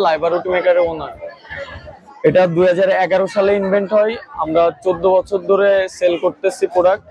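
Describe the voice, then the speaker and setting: A company representative, a man with a colloquial Bangladeshi accent, gives an unscripted pitch. His voice is notably high and the sound is dry, with little echo. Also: a little mellow, breathy edge, muffled recording. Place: trade fair stall.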